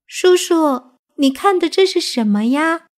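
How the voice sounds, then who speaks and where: Woman voicing a high-pitched curious little kid. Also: expressive, measured pace. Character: small curious young child, innocent and polite.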